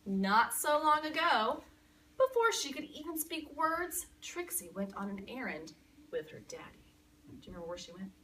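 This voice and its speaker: A woman reading a picture book aloud to a young child in an expressive, dramatic way, pausing to chat and ask questions. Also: sits high, far from the mic, slight background noise, poor audio. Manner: expressive, with dramatic gasps and emphasis.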